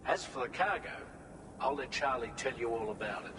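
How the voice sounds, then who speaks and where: A man playing a practical adviser who briefs a courier on routes in a game cutscene, in a steady, colloquial manner. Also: mid-range voice, fairly quick, noisy background, natural voice. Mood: calm. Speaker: mission supporter. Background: game music.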